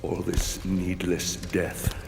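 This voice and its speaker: An actor playing a grim, resolute Roman centurion in a video game, speaking gravely in clipped commands. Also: male voice, deep voice, flat delivery, takes it slowly, background noise, heavily acted. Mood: cold accusation. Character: grim, vengeful Roman centurion general, steadfast soldier. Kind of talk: dramatic video game cutscene acting. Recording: loud music behind the voice.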